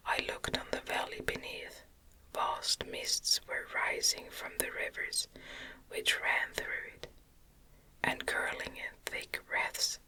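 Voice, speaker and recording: Female narrator for whispered gothic audiobook ASMR: soft and breathy, very sharp-edged, very slow, soothing. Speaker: audiobook reader.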